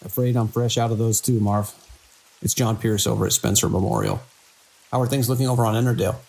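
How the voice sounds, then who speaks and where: A man playing a weary, dedicated hospital director in an audio drama, speaking in a measured, grave way. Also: on the low side, animated, vocal fry, darkish tone, low-quality recording. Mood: wry, urgent. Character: weary, dedicated male hospital director under mounting strain. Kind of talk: audio drama acting, recorded log entries and phone call.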